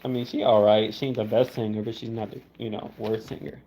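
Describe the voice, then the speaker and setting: A man's voice: fairly low pitch, little pitch movement, brisk, mellow, a little hiss or hum. A young man on a casual livestream, laid-back and teasing, laughing as he answers viewer comments. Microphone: phone. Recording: dull lo-fi phone audio.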